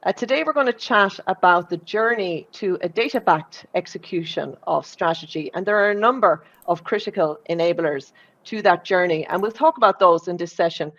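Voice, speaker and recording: An Irish woman in her forties speaks at a virtual conference in a low, personal, conversational tone. Her voice comes through a muffled, lo-fi remote line. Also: moderate pace, a bit bright. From Ireland. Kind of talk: conference talk.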